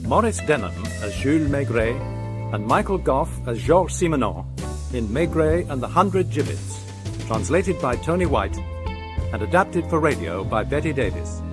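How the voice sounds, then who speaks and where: Male announcer, medium pitch, animated intonation, scripted radio drama introduction, plucked guitar music behind. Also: normal speed.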